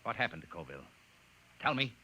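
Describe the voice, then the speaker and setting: An actor playing a defiant, principled official in a 1950s radio drama, speaking firmly and dramatically. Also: male voice, on the low side, very animated, fast, bright, forceful tone, husky, full character voice. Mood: grave, firm. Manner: firm, dramatic. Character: defiant, principled colonial official and narrator, a man.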